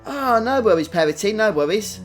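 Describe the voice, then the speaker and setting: Male streamer, British, fairly high voice, chatty and casual gameplay commentary over faint music. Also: lively intonation, brisk. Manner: chatty, greets viewers individually. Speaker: game livestream host.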